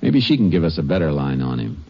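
A shrewd calm texas ranger, real low voice, old radio western, muffled sound. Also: male voice, fast talker, very noisy, heavily acted. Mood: terse, probing. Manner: terse, matter-of-fact questioning. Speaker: lead actor and narrator. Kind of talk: 1950s radio western acting. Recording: dull vintage 1950s radio recording.

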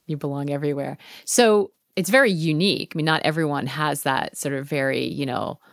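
A woman's voice: low voice, fairly quick, vocal fry, dry recording, studio quality. A middle-aged woman hosting an interview show in a conversational style.

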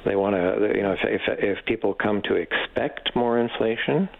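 Male expert guest, deep creaky voice, measured and hesitant delivery, slightly muffled radio sound. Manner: measured, halting, hesitant phrasing. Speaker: economics professor, interview guest. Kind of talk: expert commentary in a radio interview.

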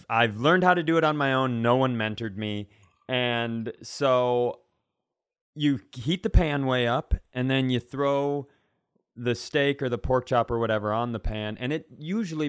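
A man's voice: medium pitch, unhurried, smooth. An American man co-hosting a podcast, telling casual comedic stories in a rambling, self-deprecating way. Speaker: podcast co-host.

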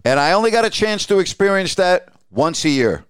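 Guy hosting a sports podcast, reflective solo talk, smooth clear voice, really dry sound. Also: high voice, very animated, brisk, fairly bright tone, no echo. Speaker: podcast host and veteran sports announcer.

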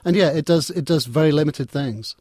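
A man's voice: fairly high pitch, fairly quick, a little mellow, breathy edge, no room sound, clean studio sound. A man talking as an interview guest in a conversational, discursive way, often repeating and reworking his words. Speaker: interview guest, technology writer and editor.

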